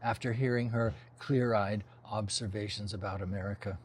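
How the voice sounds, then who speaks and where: An older man from New Zealand who was raised in Los Angeles, reading his own literary memoir-style novel in a measured, reflective manner with brief informal asides. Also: on the low side, steady tone, slight background noise. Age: over 60.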